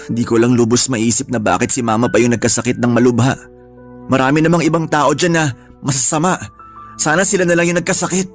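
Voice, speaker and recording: An actor playing a worried, devoted young son in a radio drama, with an earnest, troubled way of talking. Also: male voice, medium pitch, steady tone, fairly quick, soft background music, lightly acted. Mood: bitter.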